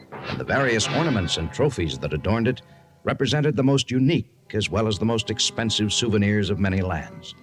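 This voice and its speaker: A man narrating an adventure serial in a grand, measured old-time radio storytelling style. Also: on the low side, lightly acted. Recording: noisy old radio recording.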